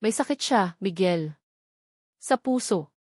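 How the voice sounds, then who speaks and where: A woman's voice: mid-range voice, lively intonation, slow pace, darkish tone, no echo. Woman acting a desperate, pleading mother, dry sound. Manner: pleading, urgent. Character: desperate, tearful young mother pleading for her child.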